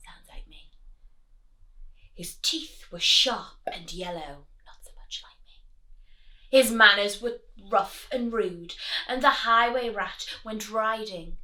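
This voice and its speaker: A woman reading a storybook aloud to children, expressively, pausing to chat and put questions to her young listeners. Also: mid-range voice, bright, forceful tone, low-quality recording.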